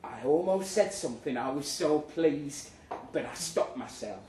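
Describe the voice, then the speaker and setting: A man reads a comic story aloud in a theatrical, expressive way, with a fairly high voice that swings widely in pitch. He takes his time over the lines. It is a live recording in an echoey room. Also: a little slow. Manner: theatrical, expressive, acting out the dialogue. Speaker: reader of a short story. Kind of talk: dramatic comic story reading to a live audience. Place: live reading in a pub room. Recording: roomy, distant live recording with noise.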